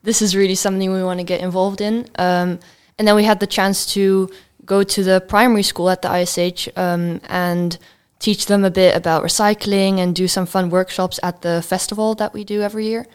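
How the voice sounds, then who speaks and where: A woman's voice: mid-range voice, level tone, fairly quick, few pauses, clear, resonant tone. A fifteen-year-old girl from Belgium, answering questions as a student guest in an interview.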